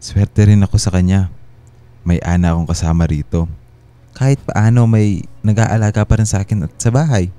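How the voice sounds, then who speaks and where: A man's voice: on the low side, steady tone, moderate pace, dark tone, clean tone, lightly acted. An actor playing a tired, dutiful husband and father in a Filipino radio drama, earnest and a little hesitant, with a Filipino accent. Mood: grateful, earnest. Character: tired, dutiful working husband and father, grateful, somewhat evasive.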